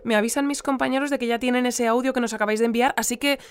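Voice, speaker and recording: An actress plays a polite newsroom woman with a fairly high voice and a Castilian accent. She takes a call hesitantly and courteously, in audio fiction style. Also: steady tone, rapid-fire, clear, resonant tone, natural voice. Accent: Castilian Spanish from Spain. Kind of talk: Spanish audio fiction acting.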